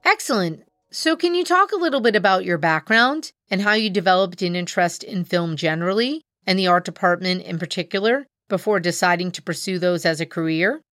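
A woman hosting a podcast, reading a formal scripted introduction and asking interview questions in a measured way. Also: fairly low pitch, a bit bright, no echo, clean studio sound, soft background music. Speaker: podcast host.

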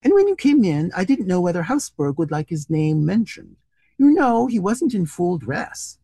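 A reader voicing an amused, worldly young English gentleman in a storybook, bantering in exclamations. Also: medium pitch, very animated, moderate pace, breathy edge, no room sound, clean studio sound. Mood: amused explaining.

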